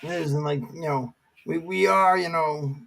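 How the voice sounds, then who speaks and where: A man's voice: on the high side, fairly even intonation, moderate pace, creaky, a bit bright, slight background noise, poor audio. A middle-aged man, a military veteran guest, chatting casually with many fillers and restarts. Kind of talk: casual talk show chat.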